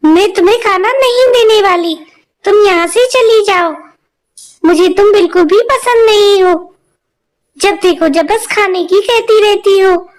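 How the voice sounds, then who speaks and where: Harsh, high, scolding cartoon stepmother; a woman voices her. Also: a little slow. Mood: scornful. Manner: sharp and scolding. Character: cruel shrill stepmother.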